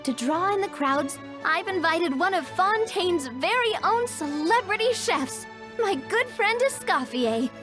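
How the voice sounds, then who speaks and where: High-pitched, genteel young lady president. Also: expressive, unhurried. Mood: upbeat. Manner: polite, eloquent, enterprising. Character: poised, genteel young female business president. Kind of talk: video game voice acting. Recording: music behind.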